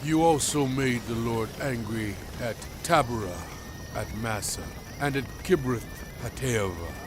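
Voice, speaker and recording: A man's voice: mid-range voice, over music, natural voice. A male actor playing a stern prophet addressing his people in a dramatized scripture reading, with grave, emphatic oratory. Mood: grave reproach. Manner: grave, deliberate, emphatic phrasing.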